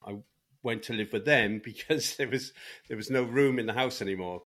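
A man's voice: medium pitch, fairly quick, a bit bright. A middle-aged man with a Welsh accent, speaking candidly as a guest about his life, rambling with many restarts. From Wales.

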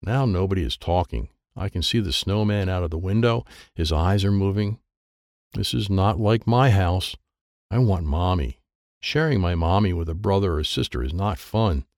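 A man's voice: sits low, moderate pace, a little mellow, no echo, clean studio sound. A man reading a children's audiobook in short, simple, childlike sentences. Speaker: audiobook narrator.

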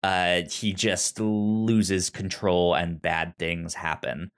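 A man co-hosting a casual film discussion, halting and often searching for his words. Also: deep voice, little pitch movement, clean tone. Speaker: podcast co-host.

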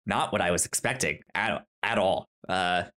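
A podcast co-host chatting casually about a film, haltingly, often repeating words and acting out their own reactions. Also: low voice, fairly bright tone. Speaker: podcast co-host reviewing films. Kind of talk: casual chat, film review.

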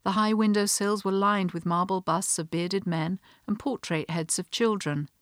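A woman narrating a scripted description in a measured reading voice. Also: medium pitch, no room sound, studio quality. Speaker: interviewer and narrator.

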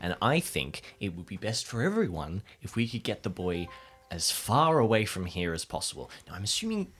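A man playing an awkward, earnest character who speaks bluntly and haltingly in an improvised audio drama. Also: on the low side, sing-song, fairly bright tone, breathy edge, soft background music, voice pushed down, full character voice.

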